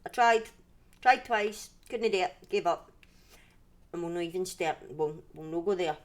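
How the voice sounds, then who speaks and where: A woman's voice: lowish voice, moderate pace. A woman with a Scottish accent hosting a livestream in a casual, rambling way, often breaking off and restarting her sentences. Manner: rambling, self-interrupting, conversational. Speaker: livestream host and storyteller. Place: indoors at home.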